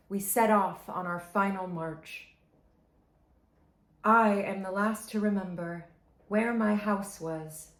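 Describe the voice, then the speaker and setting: Woman, slow and mournful poetry reader, roomy echoey sound. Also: medium pitch. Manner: grave, mournful, drops to a hush at line ends. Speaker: family member reading a poem. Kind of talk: slow, emotional poetry reading. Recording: roomy echo.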